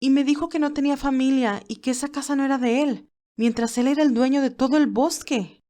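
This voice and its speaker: Lady doing a young nurse girl for an audiobook, kinda high, emotional, defensive, stresses her words. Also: steady tone, fairly quick, warm tone, natural voice. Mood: defensive, hurt. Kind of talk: acted audiobook dialogue.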